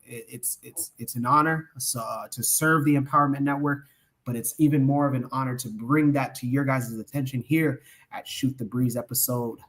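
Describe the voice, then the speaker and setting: A man with a medium-pitched voice wraps up a livestream podcast. He sounds upbeat and proud, and often stalls on fillers and restarts as he gives shout-outs. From Omaha, Nebraska. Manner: enthusiastic, hesitant with many fillers. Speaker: podcast co-host. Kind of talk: closing remarks and shout-outs.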